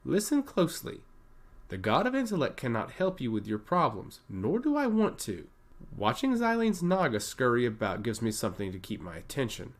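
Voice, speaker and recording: A man's voice: medium pitch, wildly swooping pitch, moderate pace. A man voicing an amused, teasing god of intellect in a theatrical way for a fantasy story. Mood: smug, teasing. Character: smiling, playfully coy male god of intellect.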